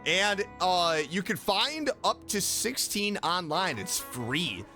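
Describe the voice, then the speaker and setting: A man hosting a reaction video with casual, expressive commentary. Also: high voice, takes it slowly, soft background music.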